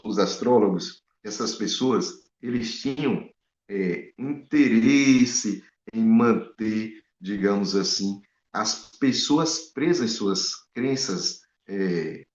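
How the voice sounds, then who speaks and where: A man preaching a Bible study sermon, animated and full of rhetorical questions to his listeners. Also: fairly low pitch. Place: in Spain. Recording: echoey, dull lo-fi room sound.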